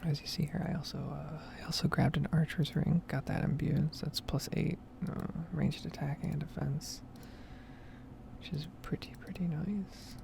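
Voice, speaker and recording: A woman speaking in a soft, breathy whisper, rambling casually in an ASMR gameplay style, close to the mic with typing and clicking behind her. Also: deep voice, steady tone, moderate pace. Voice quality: breathy, soft-spoken whisper with heavy vocal fry. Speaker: gaming streamer and ASMR creator.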